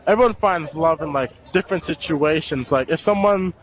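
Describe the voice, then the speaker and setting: A man's voice: on the high side, moderate pace, a little hiss or hum, low-quality recording. A young man answering a street interview into a handheld mic, hesitant and full of fillers. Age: young adult. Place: city street at night.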